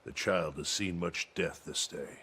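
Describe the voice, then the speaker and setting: A man playing a grave, caring captain of a mercenary brigade in a video game, speaking in a measured way. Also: a bass voice, gravelly, sharp tone, slight background noise, exaggerated voice. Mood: concerned, solemn. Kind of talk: dramatic video game acting.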